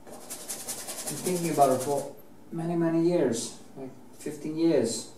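Norwegian painter, middle-aged, unhurried rambling talk with restarts, off-mic in a roomy space with faint hiss. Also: male voice, fairly high pitch, mellow, far from the mic. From Norway. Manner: slow, rambling, with many restarts and fillers. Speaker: painter teaching oil painting. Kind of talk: casual chat while painting. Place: indoor painting studio.

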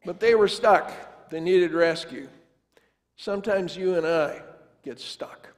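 A man's voice: high voice, takes it slowly. An older man preaching as pastor, telling a family story in a folksy, conversational way and acting out the dialogue. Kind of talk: storytelling sermon. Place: large church sanctuary, standing before the altar. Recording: long church reverb.

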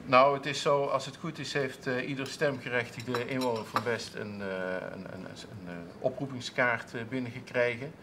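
A man's voice: medium pitch, fairly even intonation, normal speed, few pauses, noisy background. A man in his thirties, a council clerk, answering interview questions in a TV studio with many restarts and fillers. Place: TV studio with seated audience, at a table.

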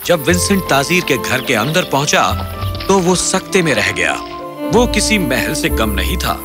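Animated male storybook narrator. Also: fairly high pitch, music in the background. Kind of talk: scripted children's story narration.

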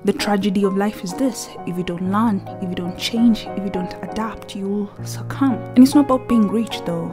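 A woman narrating a scripted video essay in an earnest, reflective way, often softly and breathily. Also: mid-range voice, normal speed, few pauses, over music.

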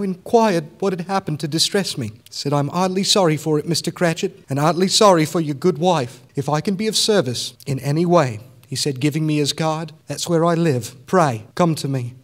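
An actor playing a kindly grieving father, tender and halting, in a radio drama. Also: fairly high pitch, close to an everyday voice. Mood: tender grief. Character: gentle, grieving, kindly working-class father. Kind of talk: live radio drama acting.

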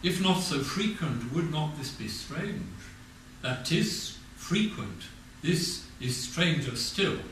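An older man in his seventies with an English accent, formally reciting poetry in a measured, declamatory manner. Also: a little high, animated, fairly bright tone. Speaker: reader of poetry at a talk. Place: community meeting room with audience. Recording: very echoey room, distant mic, faint noise.

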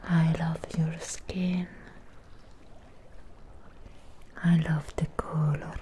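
Girl doing a whispery frankenstein monster girl asmr, super slow n breathy, kinda low, clingy and lovesick. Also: little pitch movement, warm tone. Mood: adoring, tender. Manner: slow, halting, intimate phrases. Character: lonely, possessive female Frankenstein creature, tenderly lovestruck. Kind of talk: ASMR roleplay, close-up whispering. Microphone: close microphone. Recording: close-up with clear background noise.

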